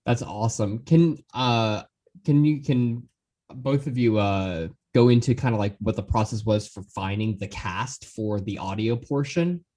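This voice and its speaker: Low-voiced man casually interviewing. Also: unhurried, mellow. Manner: rambling, roundabout questions. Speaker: podcast interviewer.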